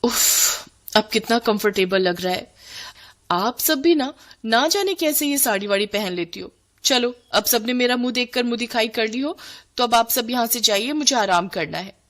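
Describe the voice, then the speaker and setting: Woman playing a spoiled, breezy young bride, lively pitch. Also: moderate pace. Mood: entitled. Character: spoiled, breezy modern young daughter-in-law, entitled and bossy.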